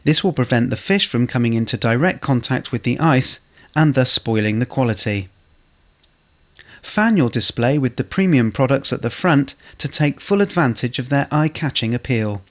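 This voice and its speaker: A man narrates a staff training film with a mid-pitched voice. His delivery is scripted and measured, laying out instructions step by step in the manner of a corporate video. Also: expressive.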